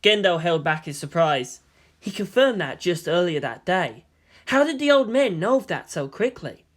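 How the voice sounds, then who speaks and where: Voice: mid-range voice, animated, normal speed. A narrator giving a scripted, dramatic reading of anime fan fiction. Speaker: audiobook narrator.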